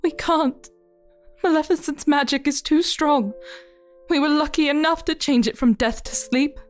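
Woman doing motherly fairy in audio drama, high voice, anxious, soft music behind. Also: measured pace. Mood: despairing. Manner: emotive, anxious. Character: distraught, motherly good fairy.

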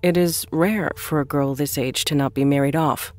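A woman acting in an audio drama as a stern, devout church official, speaking in a solemn, deliberate, imperious manner. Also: deep voice, fairly even intonation, a little mellow, natural voice. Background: faint music.

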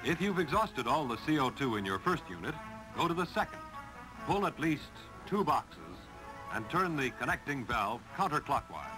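A man's voice: mid-range voice, big pitch swings, normal speed, poor audio. An American man narrating a 1950s instructional film in an emphatic, clipped, instructive manner. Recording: vintage film soundtrack with music.

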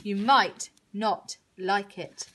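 A woman's voice: medium pitch, steady tone, extremely slow, a bit bright, pitched below the performer's own voice. A woman voicing a practical male farmer in a children's story, patiently reasoning and cautioning. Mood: cautioning, firm.

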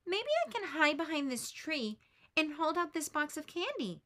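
A woman's voice: high-pitched, very animated, moderate pace, pushed and bright, pitched above the performer's own voice, exaggerated voice. A woman voicing a nervous shy boy. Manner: nervous, wistful. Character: anxious shy boy, hesitant and gloomy.

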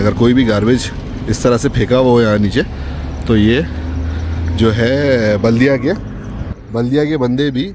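A man's voice: mid-range voice, a little mellow. Chatty man vlogging outdoors. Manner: chatty, pointing things out to viewers. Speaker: travel vlogger. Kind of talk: casual vlog narration. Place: outdoors on a mountain picnic spot. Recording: faint outdoor noise. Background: wind and passing vehicles.

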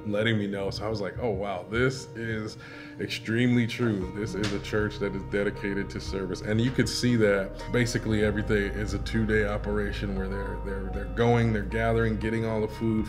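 A middle-aged American man, a pastor, speaking casually in an interview. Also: fairly low pitch. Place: indoor church sanctuary, seated interview. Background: guitar music.

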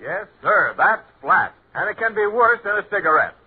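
American guy doing an old 40s radio ad, highish animated voice, tinny recording. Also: normal speed, slightly rough. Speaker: radio announcer and pitchman. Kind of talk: 1940s radio advertising read.

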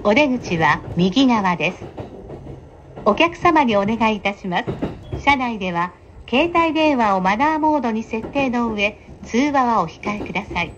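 A woman's voice: expressive, measured pace, a bit bright. A woman train announcer reading scripted station announcements in a polite, formal, carefully enunciated manner. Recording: dull train PA with clear rumble. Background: train running noise.

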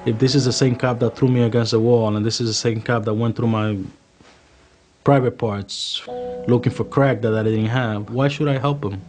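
A man from the Dominican Republic, a police officer in his thirties, giving earnest, measured testimony in a documentary interview and repeating phrases for emphasis. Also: mid-range voice, steady tone, darkish tone. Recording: music bed playing behind voice. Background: guitar music.

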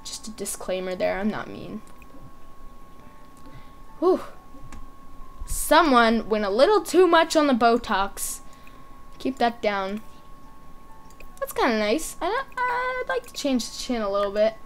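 A woman with a high voice giving casual, chatty commentary as a gaming video host, thinking aloud in short offhand remarks. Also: big pitch swings, slow pace, long pauses, slight background noise.

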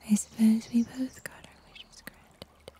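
A young woman playing a gentle, comforting summer angel in an ASMR roleplay, whispering softly and soothingly, close to the microphone. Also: a little high, flat delivery, slight background noise, natural voice. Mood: tender, soothing. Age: young adult. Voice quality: soft, breathy whisper. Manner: gentle, soothing, slow and deliberate. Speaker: ASMR performer. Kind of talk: ASMR roleplay, scripted storytelling. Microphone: close sensitive microphone.

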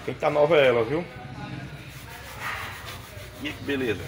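Um homem nordestino brasileiro gravando um vlog casual numa feira de motos ao ar livre, falando com entusiasmo e repetindo os preços. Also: male voice, mid-range voice, unhurried. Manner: chatty, enthusiastic, repeats prices back. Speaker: vlogger filming a motorcycle market. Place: outdoor motorcycle fair.

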